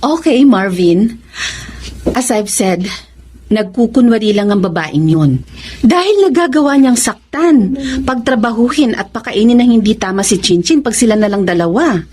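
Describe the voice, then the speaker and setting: An actress playing a caring, earnest schoolteacher in a radio drama, with an expressive delivery. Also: female voice, a little high, normal speed, breathy edge. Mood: firm. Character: caring, earnest unmarried schoolteacher, protective of her pupils.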